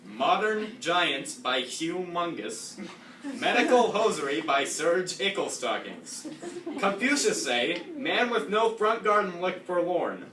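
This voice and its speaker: A man playing a bland, sarcastic American teenage girl newly moved to England, in a deadpan, mocking comedy reading. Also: sits high, takes it slowly, echoey room, distant mic, slight background noise, exaggerated voice, low-quality recording. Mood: mock sweet. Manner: deadpan, mocking, self-aware.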